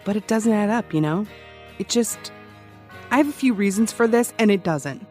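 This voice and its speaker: A woman's voice: mid-range voice, lively intonation, normal speed, a little mellow, natural voice. A woman playing an obsessive, defensive ex-cop who hosts a true-crime podcast, telling it conversationally with wry emphasis. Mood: wry, intent. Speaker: true-crime podcast host, former police officer. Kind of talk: conversational scripted narration in an audio drama. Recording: music behind the voice. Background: music.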